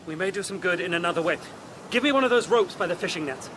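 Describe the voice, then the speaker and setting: An actor playing a brave, confident gentleman in a period audio drama, spirited and well-mannered. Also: high-pitched, lively intonation, fast, slight background noise. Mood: urgent, brave. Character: brave, confident young gentleman with seafaring experience.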